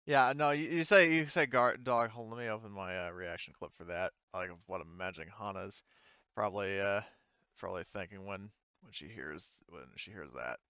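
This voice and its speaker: A man's voice: sits low, brisk, pushed and bright, clean tone, no echo, dull audio. A man casually narrating as game master of a tabletop game, moving between informal chat and descriptive narration.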